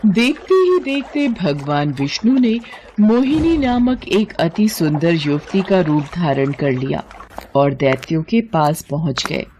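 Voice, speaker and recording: A woman tells a mythological bedtime story from a script. Her pace is unhurried and her voice mellow, with lively, wide swings in pitch. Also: slight background noise, poor audio. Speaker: storyteller, narrator.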